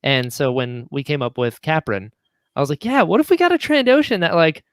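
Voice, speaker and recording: A man's voice: a little high, lively intonation, fairly quick, no room sound, studio quality. A man speaking as a podcast guest, telling anecdotes informally and acting out bits of dialogue. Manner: informal, acts out quoted dialogue. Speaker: podcast guest, audio drama writer. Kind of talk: casual chat, animated anecdotes.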